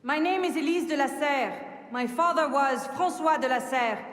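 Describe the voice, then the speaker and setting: A woman's voice: sits high, moderate pace, a bit bright, in a large hall. An actress playing a proud young noblewoman seeking revenge, speaking formally and pointedly in a dramatic video game. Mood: formal, resolute.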